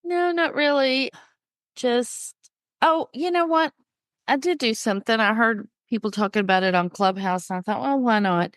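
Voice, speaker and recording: A woman's voice: fairly high pitch, normal speed, dry recording. Woman cohost, stuffy nose, chatting away, dry close sound. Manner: chatty, storytelling. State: stuffed up from a cold. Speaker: podcast co-host. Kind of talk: casual chat.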